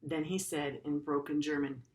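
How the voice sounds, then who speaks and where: Low-voiced woman teacher reading aloud. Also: steady tone, normal speed. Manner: earnest, explains words simply as to children. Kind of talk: story read-aloud with informal explanatory asides.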